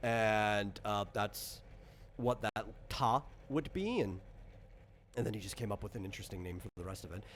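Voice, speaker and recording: A man's voice: lowish voice, expressive, normal speed. A man hosting a casual tabletop character creation chat as game designer, explaining things in a rambling, self-correcting way. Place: online voice call recorded on computer. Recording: clear background noise on voice chat.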